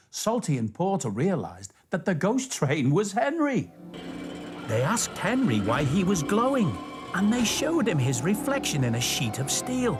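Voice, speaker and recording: A man presenting and reading stories for young children, speaking directly to the listener in an animated way. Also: on the high side. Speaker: children's storytime host, station worker character. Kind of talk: children's storytelling, scripted presenting to young viewers. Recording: music behind the voice. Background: background music.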